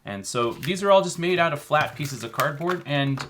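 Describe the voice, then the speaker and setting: A man co-hosting a children's show, explaining a craft casually in an informal, halting way. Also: on the high side, lively intonation, a bit bright. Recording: faint background noise.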